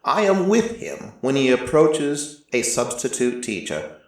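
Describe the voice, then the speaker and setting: A man playing an ancient, merciless deity who narrates a story in a measured, solemn way with deliberate pauses. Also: mid-range voice, big pitch swings. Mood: grave, reflective. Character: ancient merciless deity, male-voiced, reflective and quietly fond. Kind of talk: scripted first-person dramatic narration. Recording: echoey room, slightly distant from mic.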